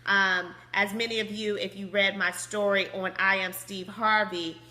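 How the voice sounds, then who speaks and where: A woman in her thirties with a high, slightly squeaky voice, hosting a business conference call in an upbeat, chatty way over a phone line. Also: little pitch movement, a little slow, bright, forceful tone. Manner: bubbly, laughs often, chatty. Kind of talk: upbeat motivational call talk.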